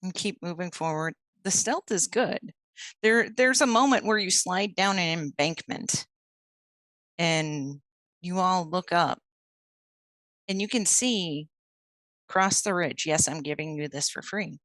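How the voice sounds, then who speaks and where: A woman narrating as storyteller for a tabletop werewolf game, setting scenes slowly and deliberately. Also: lowish voice, a bit bright, no room sound.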